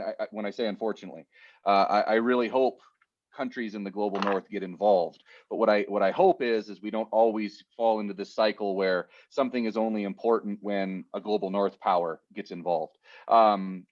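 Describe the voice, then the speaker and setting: Lower-voiced guy, academic on a zoom panel, lots of uhs, muffled audio. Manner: hesitant, many uhs and restarts, measured. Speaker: university teacher and panelist. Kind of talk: unscripted panel remarks.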